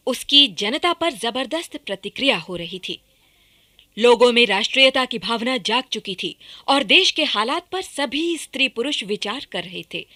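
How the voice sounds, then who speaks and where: A woman reads scripted narration for a radio documentary drama. Her voice is a little high, bright and forceful, with lively rises and falls. The delivery is dramatic and projected.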